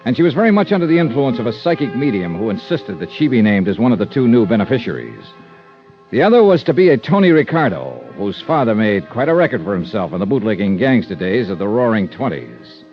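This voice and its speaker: Hard-boiled insurance investigator, American, wry and assertive, animated pitch, dull old radio sound. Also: male voice, quick pace. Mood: dry narrating. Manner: clipped, dry, assertive. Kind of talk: 1950s radio detective drama, narration and dialogue. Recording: dull vintage radio recording, music behind.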